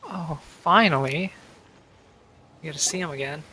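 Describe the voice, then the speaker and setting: A man comments casually on his gameplay as a streamer. His voice is bright and a little high for a man. There is faint noise in the background. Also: moderate pace. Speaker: gaming streamer.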